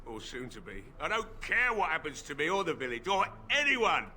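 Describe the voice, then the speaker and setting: A man's voice: a little high, big pitch swings, normal speed, bright, forceful tone, slight background noise, exaggerated voice. A man voicing a grieving, drunk middle-aged village blacksmith who sounds weary and bitter. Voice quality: bitter, weary, slurring drink-talk. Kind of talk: video game voice acting.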